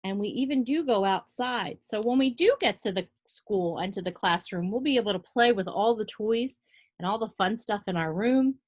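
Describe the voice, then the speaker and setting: A woman preschool teacher reading to young children in an animated way, with simple explanations and questions spoken directly to them. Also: mid-range voice, moderate pace. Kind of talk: read-aloud to young children with asides and questions.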